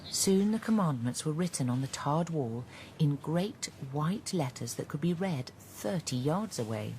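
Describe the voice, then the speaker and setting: A woman's voice: deep voice, animated, unhurried, background noise. A woman narrating a farm fable in a radio drama. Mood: measured.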